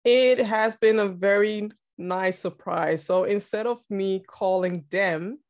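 A woman with a Dutch accent, whose first language is Dutch, talking as a guest in a casual interview, reflective and quick to laugh. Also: medium pitch. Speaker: podcast guest, entrepreneur.

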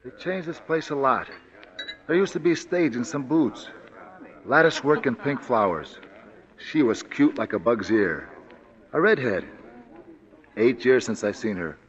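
An actor playing a huge, slow, simple-spoken male ex-convict in a 1940s radio drama, talking bluntly and ungrammatically, on a dull lo-fi broadcast recording. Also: medium pitch, animated, natural voice. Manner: plain, blunt, ungrammatical speech.

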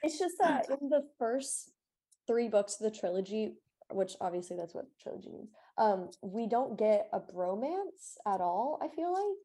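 A woman's voice: on the high side, sing-song, normal speed, mellow, husky. A young woman co-hosting a casual book discussion podcast, chatty and gushing, peppering her talk with "like". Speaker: podcast co-host.

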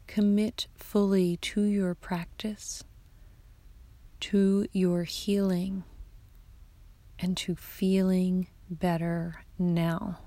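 A woman guiding a yoga nidra meditation in a soft, hushed, half-whispered voice, giving calm, soothing instructions. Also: on the low side, dry recording. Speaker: meditation guide.

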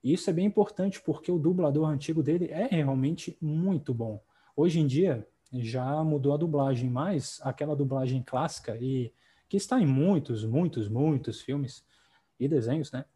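A man hosting a podcast, talking in a relaxed, conversational way with frequent tag questions. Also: fairly high pitch, normal speed, husky. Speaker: podcast host. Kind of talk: casual podcast chat.

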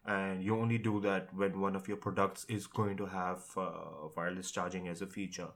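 A man with a low-pitched voice co-hosts a tech podcast. He speaks in a casual, conversational way, pausing to think aloud as he goes. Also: little pitch movement. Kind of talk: casual tech and gaming discussion.

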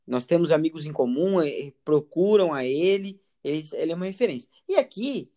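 A man's voice: on the high side, expressive, a little fast, dark tone. A man with a Brazilian accent hosting a conversational interview, circling around his point as he talks. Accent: Brazilian Portuguese. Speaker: interviewer, psychologist and English teacher.

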